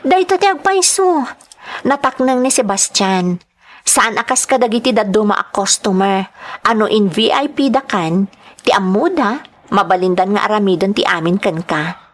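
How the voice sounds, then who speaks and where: A woman's voice: medium pitch, animated, moderate pace, natural voice. A woman with a Filipino accent playing an earnest young nightclub entertainer, telling her story expressively in a radio-style drama. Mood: defensive, sincere.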